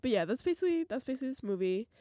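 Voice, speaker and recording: A woman's voice: high voice, expressive, rapid-fire, dark tone, dry recording, studio quality. A young woman with a slightly stuffy, sick voice, co-hosting a casual podcast chat, chatty and giggly with frequent restarts. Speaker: podcast co-host.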